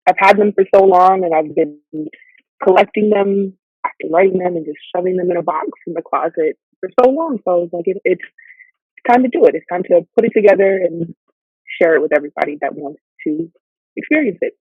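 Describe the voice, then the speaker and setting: A woman in her thirties, a guest poet answering interview questions in a relaxed, rambling way. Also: lowish voice, a little fast, husky, no room sound. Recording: dry, tinny phone line.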